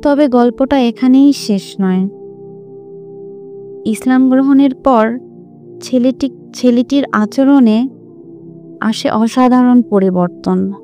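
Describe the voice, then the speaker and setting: Woman telling an inspirational story, mellow soft-edged tone, faint music behind, phrases measured and deliberate. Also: fairly high pitch. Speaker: narrator of religious stories. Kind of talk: scripted inspirational storytelling narration.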